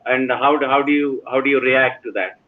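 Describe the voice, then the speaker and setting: A man's voice: medium pitch, steady tone, a little fast. Indian guy moderating a film panel, stammers into questions, tinny phone sound. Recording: tinny phone-like call line.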